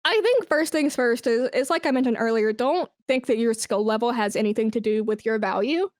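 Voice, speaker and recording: A woman's voice: on the high side, brisk, a little mellow, no room sound, clean studio sound. A woman speaking as a podcast guest in a casual, informal interview, effusive and emphatic about their work as an esports brand manager.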